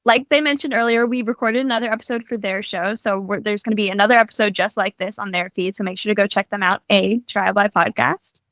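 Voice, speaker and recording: A woman's voice: mid-range voice, steady tone, fast talker, few pauses, a bit bright, no echo. A teenage girl hosting a podcast, introducing the episode in a chatty way. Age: teens. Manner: chatty, many likes. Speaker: podcast host. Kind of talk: casual chat, introducing the episode.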